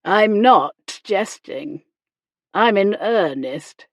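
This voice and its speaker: Lady narrator doing a cool sarcastic period gentleman, unhurried, commanding, dry close recording. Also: medium pitch, animated, no echo, heavily acted. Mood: cool, insistent. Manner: sarcastic, deliberate. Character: sardonic, commanding gentleman, injured, coolly provoking. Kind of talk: audiobook character dialogue, period romance.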